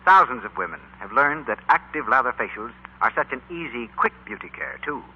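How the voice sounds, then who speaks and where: Male announcer, 1940s commercial delivery, medium pitch, raspy edge, polished, persuasive. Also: lively intonation, brisk. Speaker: radio announcer for a soap advertisement. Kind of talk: 1940s scripted radio commercial. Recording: dull lo-fi 1940s radio recording.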